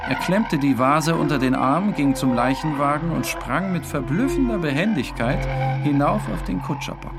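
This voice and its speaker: Male literary narrator over clear music. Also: a little high, animated, normal speed. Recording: music behind the voice.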